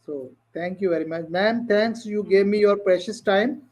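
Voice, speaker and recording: A man from Pakistan hosting a live online interview in English, polite and halting, with many "uh" pauses. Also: sits high. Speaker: interview host. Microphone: video call.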